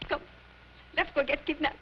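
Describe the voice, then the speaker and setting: Shrill, vain, melodramatic opera diva. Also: female voice. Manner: theatrical, histrionic, repeating herself. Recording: dull, noisy vintage film soundtrack.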